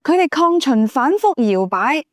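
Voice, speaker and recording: A woman voicing a learned, composed young noblewoman in a Cantonese audiobook, explaining things in a measured, didactic way. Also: high voice, sing-song, no room sound, clean studio sound, voice pushed up. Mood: calm, explaining. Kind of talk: audiobook character dialogue.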